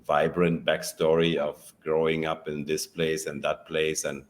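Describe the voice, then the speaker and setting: A man hosts a podcast and asks his questions in an easy, conversational way. His voice is very low, and he murmurs along while his guest talks. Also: measured pace. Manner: relaxed, lots of murmured backchannel. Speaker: podcast host. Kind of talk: casual interview conversation.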